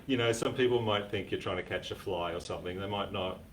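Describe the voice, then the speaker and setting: Low-voiced guy cohosting a live stream, lots of ums, dry humor, sounds a bit away from the mic. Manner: easygoing, halting, with many "um"s and dry jokes. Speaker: co-host. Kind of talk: casual live-stream chat. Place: community repair centre. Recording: distant mic with faint background noise.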